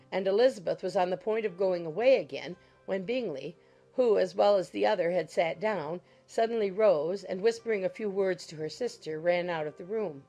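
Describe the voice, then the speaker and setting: Lower-pitched woman narrating a novel. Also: a little mellow. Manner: measured, literary storytelling. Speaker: audiobook narrator. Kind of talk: scripted narration of a classic novel. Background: background music.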